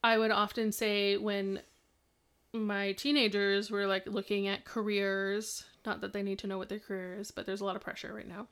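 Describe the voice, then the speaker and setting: A woman's voice: mid-range voice, level tone, normal speed, a bit bright, smooth. A woman in a mid-range voice co-hosting a podcast from home. She offers advice on parenting stress, rambling casually with plenty of fillers. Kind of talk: casual chat, giving tips.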